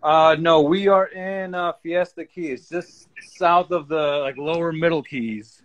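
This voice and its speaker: A man's voice: a little high, fairly even intonation, measured pace. A man in his forties from the United States, chatting casually on a video call and often repeating words as he goes. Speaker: contractor, panel guest. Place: indoor room, reclining. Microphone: phone at arm's length.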